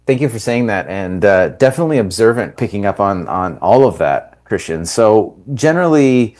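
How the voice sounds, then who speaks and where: A man's voice: on the low side, animated, normal speed, darkish tone. A Canadian man whose first language is Italian, a film director chatting casually as a radio guest, rambling with many fillers.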